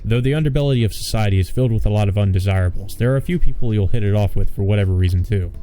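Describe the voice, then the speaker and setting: A man with a lowish, very mellow voice reads a visual novel aloud. He drops in wry, offhand remarks as he plays, and faint music runs underneath. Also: very fast. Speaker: let's player.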